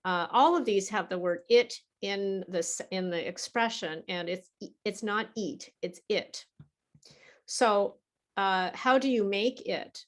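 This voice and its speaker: Slow, patient woman teaching English pronunciation. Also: medium pitch. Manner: patient, over-articulates target vowels for the learner. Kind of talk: slow, deliberate teaching with repeated example words.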